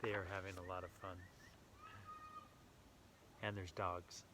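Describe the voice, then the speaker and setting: A man reading a children's story aloud with animated enthusiasm, adding casual asides to young listeners. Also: low voice, unhurried, background noise.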